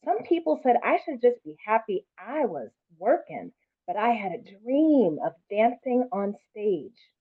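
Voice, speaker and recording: A woman's voice: on the high side, very animated, unhurried, breathy edge, phone-quality sound, full character voice. A woman voicing a loving, encouraging grandmother who reminisces in a children's storybook, in a thin recording. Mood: wistful. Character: loving grandmother recalling her youth, encouraging.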